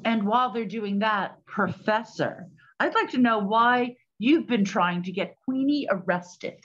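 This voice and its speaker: An actress playing a suspicious, sharp-tongued woman detective in a 1920s period radio play. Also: mid-range voice, unhurried. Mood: accusing. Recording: slightly dull lo-fi sound.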